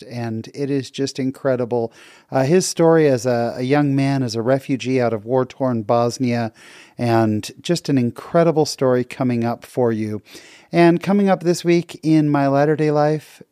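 A man hosts a podcast interview in a friendly, upbeat way, often filling pauses with "uh". His voice sits at an ordinary pitch for a man. The sound is dry and close. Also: mellow, clear, resonant tone, no echo. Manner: upbeat and friendly, with frequent fillers. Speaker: podcast host.

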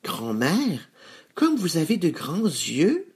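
A man's voice: a little high, wildly swooping pitch, takes it slowly, a little mellow, pitched above the performer's own voice, heavily acted. A man voicing a polite, innocent little storybook girl with a childlike, wide-eyed manner. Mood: astonished.

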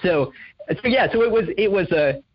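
A man's voice: sits high, animated, normal speed, muffled recording. A young man raised in Indonesia, a guest author answering interview questions thoughtfully, with many fillers and restarts. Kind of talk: reflective interview conversation.

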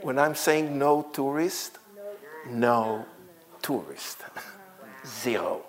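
An older man in his sixties with an Israeli accent addresses a church congregation as a visiting guest. He speaks slowly and haltingly, filling pauses with "uh" and "um" and breaking into small laughs. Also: mid-range voice. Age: 60s. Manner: halting, many fillers, frequent light laughs. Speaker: guest speaker, tour guide.

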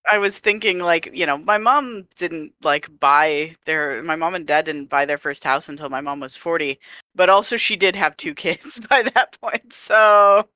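Co-host, bright forceful voice, dry close mic, chatty and laughing. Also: medium pitch, a little slow, no room sound. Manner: chatty, laughs often, self-deprecating. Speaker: podcast co-host. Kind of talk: casual chat.

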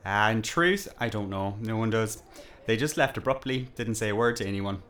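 A man acts out a village barkeep for an audio drama. His voice sits on the low side, and he talks in a folksy, wry way. He is chatty and politely nosy, always ready to tease. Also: moderate pace, fairly bright tone, lightly acted. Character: chatty, wry village pub landlord with a teasing streak.

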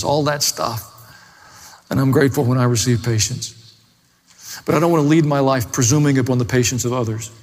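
A man pastor with a plain-spoken, folksy way, preaching and praying before a congregation. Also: medium pitch. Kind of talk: sermon and closing prayer. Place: large hall, preaching to a congregation. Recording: echoey hall with long reverb.

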